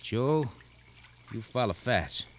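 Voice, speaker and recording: A man's voice: medium pitch, lively intonation, takes it slowly. A man acting a stern, brusque deputy in a 1950s radio drama, giving curt commands. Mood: commanding. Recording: dull, noisy 1950s radio recording.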